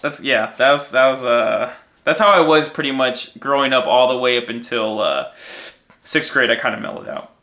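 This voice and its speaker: Bright-voiced young American man, casual storyteller. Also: medium pitch, moderate pace. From United States, raised in Minnesota. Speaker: podcast guest and co-host sharing his story.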